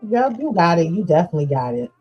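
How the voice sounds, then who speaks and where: A woman hosting a podcast, chatting slowly and loosely with lots of fillers. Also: on the low side, very animated, husky, dull audio, faint music behind. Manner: slow, drawn-out, chatty with many fillers. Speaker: podcast host. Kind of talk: casual chat.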